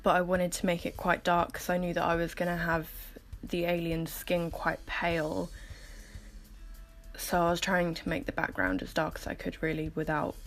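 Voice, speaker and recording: Croaky, low-voiced woman doing casual voiceover. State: croaky morning voice, just woke up. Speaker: artist making painting process videos. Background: music.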